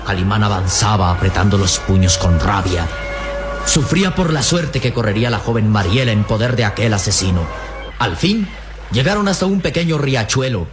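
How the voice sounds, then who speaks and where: An actor playing a solemn adventure storyteller, narrating a radio drama emphatically. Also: male voice, medium pitch, lightly acted. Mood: grave, dramatic. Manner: grave, emphatic, florid storytelling delivery.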